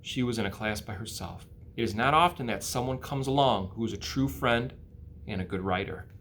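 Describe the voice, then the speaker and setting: A man's voice: mid-range voice, fairly quick, a bit bright, slight background noise. A man with an American accent, an educator, narrating a storybook aloud for children. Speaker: school educator reading aloud.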